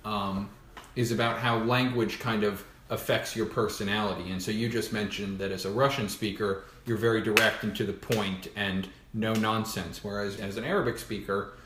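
A man's voice: fairly low pitch, fairly even intonation, normal speed, fairly bright tone. A man co-hosting a casual podcast interview, talking in a loose, rambling, playful way. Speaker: podcast co-host. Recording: echoey room with faint noise.

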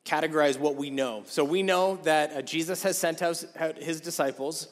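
A man in his thirties preaching a sermon in a casual, chatty way, his voice going hoarse. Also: on the high side, normal speed. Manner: casual, conversational, with many fillers. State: losing his voice. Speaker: guest preacher. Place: church sanctuary. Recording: long hall reverb.

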